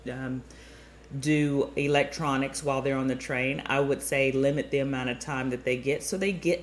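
A woman's voice: low-pitched, a little hiss or hum. A middle-aged woman hosting a travel show, stuffy-nosed, chatting upbeat and rambling straight to her listeners. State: stuffy nose. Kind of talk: chatty podcast monologue.

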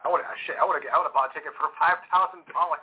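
A man's voice: fairly high pitch, racing, breathy edge. A man co-hosting a casual talk show, talking in a loose, rambling way. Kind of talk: casual podcast chat. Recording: tinny phone-like audio.

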